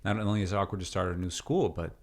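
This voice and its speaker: Very deep-voiced man, reflective. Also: fairly even intonation, racing. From Peru. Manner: reflective, many fillers and restarts. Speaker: deacon and seminarian, interview guest. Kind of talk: casual interview conversation.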